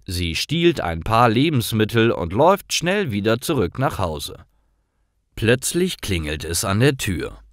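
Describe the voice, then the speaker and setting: A man reading simple scripted stories aloud in a clear, deliberate manner, as a storyteller for language learners. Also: on the low side, sing-song, dry recording, studio quality.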